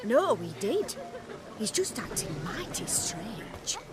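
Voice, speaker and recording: A chatty, inquisitive village woman in a video game cutscene. Her voice is high and lively, drawn out slowly. Loud festive music plays behind her. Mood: curious.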